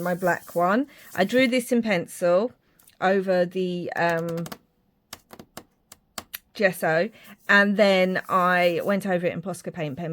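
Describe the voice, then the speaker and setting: A woman's voice: mid-range voice, slight background noise. A woman from Ireland, talking casually to her viewers while she works on a craft project, rambling a little and poking fun at her own drawing skills. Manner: chatty, self-deprecating, rambling with fillers. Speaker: crafting vlogger. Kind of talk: casual craft tutorial chat.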